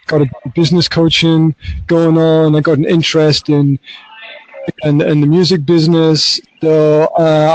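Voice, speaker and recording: A middle-aged man with a slightly raised pitch talks loosely and easily, doubling back on his words and acting out little remembered exchanges. The sound is close and dry, with little room around it, picked up on a phone outdoors on a balcony. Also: no echo, low-quality recording. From Scotland. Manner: relaxed, rambling, with frequent restarts and quoted asides. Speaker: podcast host and business coach. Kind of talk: casual conversation over an app. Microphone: mobile phone.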